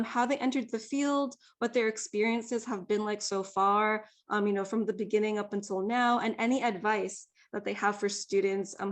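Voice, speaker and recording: A woman librarian moderating a panel, polite and steady, with a lot of "um". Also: fairly high pitch. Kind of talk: event introduction.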